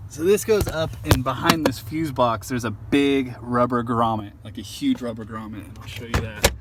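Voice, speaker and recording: A man giving an informal, halting how-to demonstration, thinking aloud as he goes. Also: mid-range voice. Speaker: amateur truck owner showing a DIY install. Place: outdoors at a truck. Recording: handheld outdoor recording with clear background noise.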